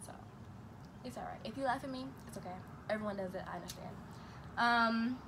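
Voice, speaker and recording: A young woman from Chicago who talks fast and stutters, reading get-to-know-me questions aloud and answering them in a casual vlog. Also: a little high, creaky. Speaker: vlogger. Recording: noisy room ambience.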